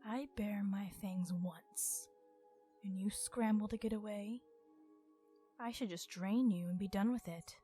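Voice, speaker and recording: Woman acting a slow, haughty ancient female vampire. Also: medium pitch, faint music behind, natural voice. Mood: irritated, menacing. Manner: haughty, measured, formal phrasing, often hushed. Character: centuries-old female vampire, stern, irritated, possessive, coolly refined. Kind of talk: ASMR roleplay monologue.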